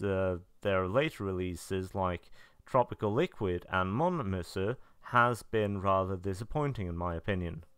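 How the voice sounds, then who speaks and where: A man with a fairly low voice narrates a review of releases. His intonation swings up and down a lot, though his delivery stays matter-of-fact with deadpan asides. The sound is dry and close. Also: clean tone, no room sound. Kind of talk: semi-scripted review narration.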